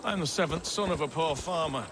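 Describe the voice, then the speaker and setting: Man playing a high-pitched, nervous, stuttering impostor lord. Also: brisk, noisy background, close to an everyday voice. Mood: anxious. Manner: fussy, florid wording, blustering. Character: nervous, wordy, cowardly male impostor posing as a lord. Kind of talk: video game character acting.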